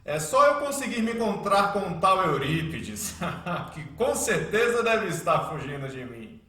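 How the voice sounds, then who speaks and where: High, echoing, fiery priest. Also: male voice, sing-song, unhurried, sharp tone, heavily acted. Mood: triumphant, stern. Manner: pompous, scornful preaching. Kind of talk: religious radio drama acting. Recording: very echoey church-like room, distant.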